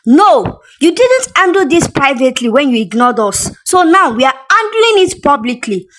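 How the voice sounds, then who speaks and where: A Nigerian woman voicing a furious fashion brand owner who loudly scolds and accuses a cheat. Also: expressive, fairly quick, low-quality recording. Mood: accusing. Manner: loud, accusing, shrill scolding.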